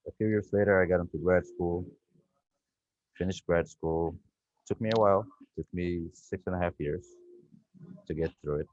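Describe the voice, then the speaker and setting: Guest: deep-voiced man, reflective and rambling, dry lo-fi video call sound. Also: fairly even intonation, normal speed, pauses often, darkish tone, no echo. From Ethiopia. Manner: reflective, rambling with frequent "you know" and restarts. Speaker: guest researcher. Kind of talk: conversational storytelling on a video call. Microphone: video call microphone.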